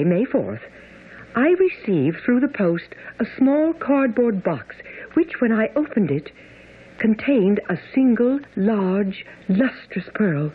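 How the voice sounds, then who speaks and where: A young Victorian gentlewoman in a radio play, earnest and exact. Her breathy voice moves with lively intonation. The broadcast is thin and phone-like. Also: a little high, exaggerated voice. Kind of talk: radio drama acting.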